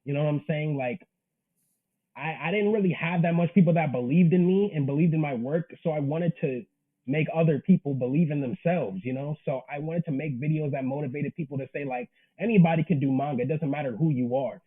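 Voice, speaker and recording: A man in his twenties with a slightly high voice, heard through narrow, phone-like audio. He speaks casually and earnestly as an interview guest, using everyday slang. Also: fairly quick. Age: 20s. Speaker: interview guest, manga creator.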